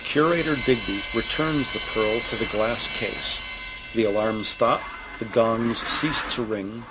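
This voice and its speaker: A man reading scripted audio drama narration in a deliberate, descriptive storytelling manner. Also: medium pitch, very noisy. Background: prominent music.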